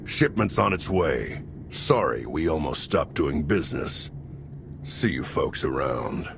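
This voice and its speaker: An actor playing a gruff, menacing ex-soldier arms dealer with a deep gravelly voice in a video game. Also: male voice, animated, slow, noisy background, heavily acted. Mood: smug. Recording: music behind the voice.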